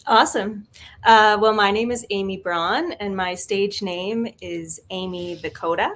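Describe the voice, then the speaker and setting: A woman's voice: medium pitch, unhurried, a bit bright, a little hiss or hum. A woman from Canada whose first language is sign language, a musician guest telling stories cheerfully and laughing easily. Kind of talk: casual interview chat.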